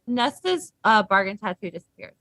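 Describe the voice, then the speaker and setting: Bright-voiced woman in a dry close recording. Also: medium pitch, very animated, normal speed, dry recording, low-quality recording. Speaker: book discussion panelist. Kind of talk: casual group discussion.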